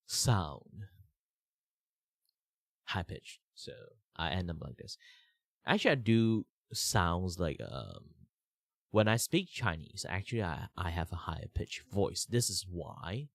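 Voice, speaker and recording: Young man, low voice, rough sick-ish throat, rambling stream chat, dry close sound. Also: no echo. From Malaysia. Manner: rambling, many fillers and restarts. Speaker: art streamer.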